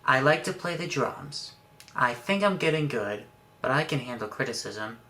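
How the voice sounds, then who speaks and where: A man's voice: medium pitch, lively intonation, normal speed, over loud music. A man speaking song lyrics aloud as a dramatic reading.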